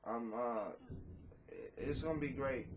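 A man's voice: medium pitch, measured pace. A man in his thirties, a rapper talking casually and bluntly in an interview, cursing freely, on a video call from a small room at home. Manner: blunt, profane, laid-back.

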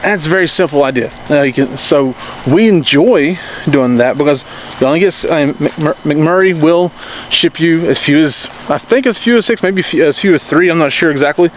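Man, 30s, homestead vlogger, backyard selfie-cam, slightly high voice, faint outdoor hum. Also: moderate pace. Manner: rambling, frequent restarts and "okay?" checks. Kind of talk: casual explainer vlog. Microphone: handheld camera at arm's length.

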